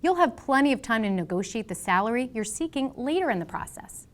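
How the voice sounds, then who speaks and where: A woman with a fairly high voice reads scripted advice as a career presenter. She coaches emphatically in punchy phrases, over noticeable background noise with clicks. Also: very animated, brisk.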